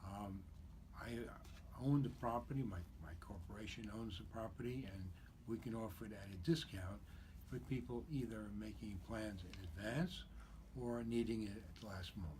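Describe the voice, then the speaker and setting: A man in his seventies, born in Czechoslovakia and raised in New York, explains his work in a steady, businesslike way as a guest on a radio interview. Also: fairly low pitch, normal speed. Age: 70s. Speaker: guest, cemetery broker. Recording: radio broadcast with steady background noise.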